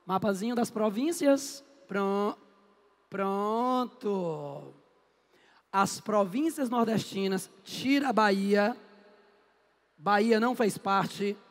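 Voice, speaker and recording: A man's voice: high voice, slow, long pauses. A middle-aged man from Northeast Brazil, giving a chatty, joking history lecture and answering his own questions. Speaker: history teacher. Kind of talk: lively classroom lecture. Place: classroom. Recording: echoey classroom with long reverb.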